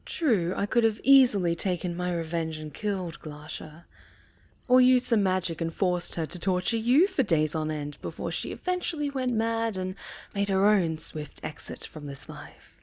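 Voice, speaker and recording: A woman playing a sinister, seductive necromancer villain in a fantasy ASMR roleplay, soft-spoken and half-whispered, with menacing, deliberate delivery. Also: lowish voice, expressive. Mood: sinister, controlling. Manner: slow, deliberate, menacing, savoring pauses.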